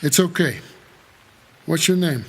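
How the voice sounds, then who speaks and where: An American actor playing a weary, guarded supernatural driver who narrates an audio drama in terse, measured noir style. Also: male voice, a little high, expressive, a little mellow, breathy edge, heavily acted. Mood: calm, reassuring.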